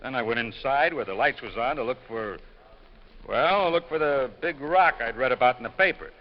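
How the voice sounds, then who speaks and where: A male actor voices a rough small-time crook in an old radio crime drama. He is mid-pitched, blunt and defensive, with slangy grammar. The recording is dull and faintly noisy. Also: normal speed, close to an everyday voice. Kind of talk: 1950s radio detective drama acting.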